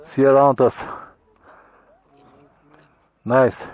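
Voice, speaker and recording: A middle-aged Bulgarian man talking to his camera on a motorcycle trip, in halting, grateful English full of restarts, recorded outdoors on a helmet or handheld action camera. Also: mid-range voice, flat delivery, a little mellow, no echo. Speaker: motorcycle travel vlogger. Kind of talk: casual travel vlog talk to camera. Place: high mountain pass outdoors. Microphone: action camera on helmet or in hand.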